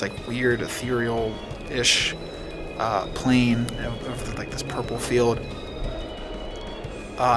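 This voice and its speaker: A man acting as game master, improvising casual tabletop narration and searching for words as he goes. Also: medium pitch.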